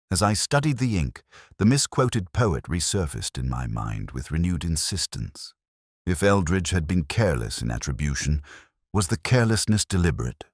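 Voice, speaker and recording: A man reading a scripted first-person detective story in a measured, brooding, reflective manner. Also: rumbling low, dry recording, clean studio sound. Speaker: narrator.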